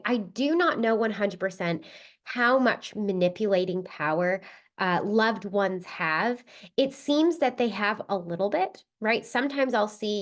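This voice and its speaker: A woman with a cold, sounding a little stuffed up, talking casually as a podcast host, with many fillers and tag questions. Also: medium pitch. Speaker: podcast host and spiritual reader. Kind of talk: casual solo podcast talk.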